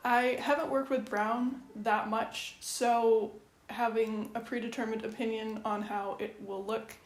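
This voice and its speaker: Chatty woman in an echoey kitchen. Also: on the high side. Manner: chatty, wry, matter-of-fact. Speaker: quilting vlogger. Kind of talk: casual chatty tutorial. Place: home kitchen. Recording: echoey room with faint ambience.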